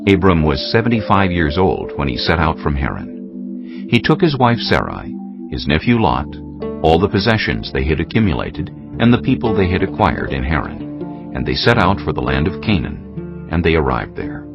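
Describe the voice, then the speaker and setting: Very deep, gravelly male scripture narrator. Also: sing-song, moderate pace. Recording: muffled sound under clear music.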